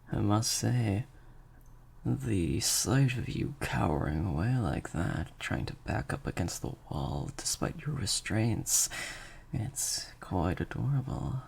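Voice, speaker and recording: A man plays a sadistic male vampire master in an intimate audio roleplay. His voice is gravelly and very husky, often sinking into half-whispers. He swings widely in pitch as he taunts his captive with teasing, condescending menace. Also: darkish tone, full character voice. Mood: amused, condescending. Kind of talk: improvised audio roleplay addressed to the listener.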